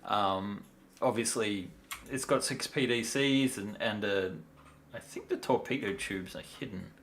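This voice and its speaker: A man's voice: lowish voice, a little slow, a bit bright, slight background noise. A tired man talking casually and in a rambling way as he hosts a livestream demo. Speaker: livestream host, miniature designer.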